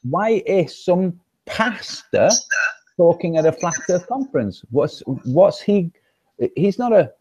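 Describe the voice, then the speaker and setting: A man's voice: sits high, very animated, slow, dark tone, breathy edge, low-quality recording. A man on a livestream asking questions in an incredulous way.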